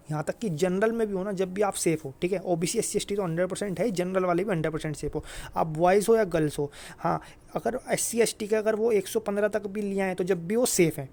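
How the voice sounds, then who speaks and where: A man's voice: high voice, darkish tone. A man talking informally to students as a video host, repeating points emphatically and adding frequent check-in tags. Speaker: exam guidance video host. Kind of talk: informal advice talk to students.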